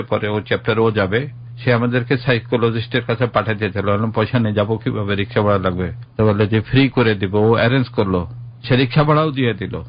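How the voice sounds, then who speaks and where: Middle aged bangladeshi guy on radio explaining himself, kinda defensive, medium pitch, dull audio. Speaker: interview guest. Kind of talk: earnest personal account.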